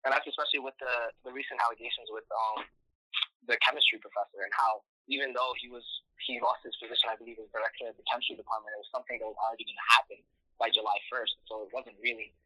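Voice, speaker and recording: A man's voice: medium pitch, fairly even intonation, fast, sharp tone, dry recording, low-quality recording. A young man from Morocco answering interview questions in a casual way, with lots of "like" and "um". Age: young adult. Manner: casual, many "like" and "um" fillers. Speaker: student activist guest.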